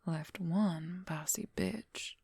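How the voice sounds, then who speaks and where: A woman's voice: fairly low pitch, extremely slow, a little mellow, no echo, heavily acted. A woman playing a possessive, wry female werewolf lover in an intimate, confiding whispered ASMR roleplay, close to the microphone. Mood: resigned, wry. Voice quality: breathy, husky whisper. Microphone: close microphone, dry.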